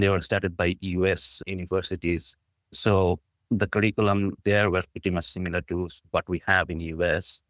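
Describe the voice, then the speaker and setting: Very low-pitched Bangladeshi-accented man. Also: no echo. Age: middle-aged. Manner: earnest, appreciative, frequent "like, you know". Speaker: podcast guest, business school dean. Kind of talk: conversational interview answer, telling personal story.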